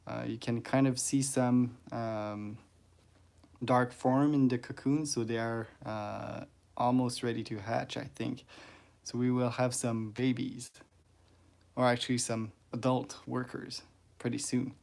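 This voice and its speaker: Male streamer, French first language, unhurried mid-pitched casual talk, halting with fillers. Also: flat delivery, vocal fry, a little mellow. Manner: halting, many "uh"s and restarts, greets chat viewers. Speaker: ant-keeping livestreamer. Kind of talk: casual livestream chat.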